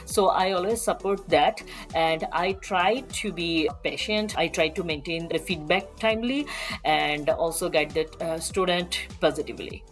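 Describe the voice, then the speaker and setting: A woman in her thirties from Bangladesh with a Bangladeshi accent, giving a measured self-introduction as a research mentor with occasional fillers. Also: fairly low pitch, faint music behind.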